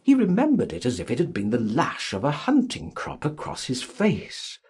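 A man narrating a literary audiobook in a dramatic, expressive and measured way. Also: medium pitch, breathy edge. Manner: theatrical, expressive, measured reading.

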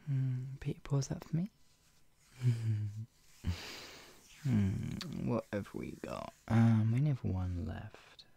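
An actor performing an intimate roleplay as a doting, playful, teasing lover, murmuring with soft hums, giggly hesitations and drops into whispers. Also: medium pitch, expressive, very slow, pauses often, dry recording, exaggerated voice. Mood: tender, soothing. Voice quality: breathy, husky, often dropping into whispers. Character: doting, playful, flustered lover tenderly caring for an ill pet-named partner.

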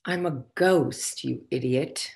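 A woman's voice: rumbling low, slow pace, vocal fry, darkish tone, full character voice. A woman playing the imperious, condescending ghost of a socialite boss in a theatrical comic table read over video call. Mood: scornful. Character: haughty, foul-mouthed ghost of a dead socialite boss. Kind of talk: virtual table read, comic stage acting.

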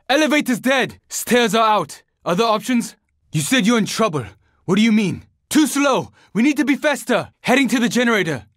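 A young survivor in a zombie shooter game. His voice sits high for a male and swings widely in pitch. The delivery is terse, earnest and determined, recorded very dry. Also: fairly bright tone, dry recording, full character voice. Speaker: video game character. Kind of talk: video game voice lines, dramatic combat barks.

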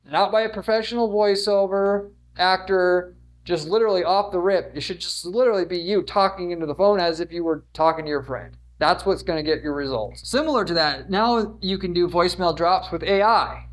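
Male marketing instructor, thirties, high-pitched voice, emphatic and informal explainer delivery. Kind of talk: informal whiteboard lesson, explaining to camera. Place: indoors in front of a whiteboard. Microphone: lapel mic.

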